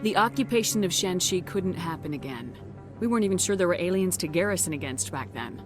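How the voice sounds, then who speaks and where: An actress playing a principled female military commander in a video game, speaking in a firm, measured, direct way. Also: fairly low pitch, animated, music in the background, natural voice. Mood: composed, diplomatic. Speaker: player character. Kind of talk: video game dramatic voice acting.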